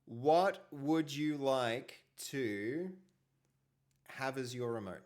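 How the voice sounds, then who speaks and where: A man hosting a casual live stream, talking with a big, loud voice and rambling, repeating himself. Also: mid-range voice, lively intonation, very slow.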